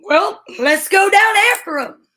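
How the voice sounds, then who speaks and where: Woman playing an eager, very high-voiced boy sidekick. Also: measured pace, exaggerated voice. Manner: gee-whiz, excitable, folksy. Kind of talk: old-time radio serial acting.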